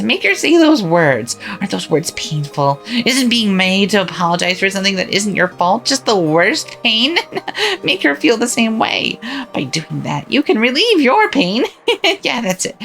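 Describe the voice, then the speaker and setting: A woman performs a cruel witch in a story game, taunting her victims with sneering lines and bursts of cackling laughter. Her voice swoops widely in pitch as she goads and mocks, at an everyday middle height. Faint music plays underneath. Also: vocal fry, fairly bright tone. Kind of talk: visual novel voice acting.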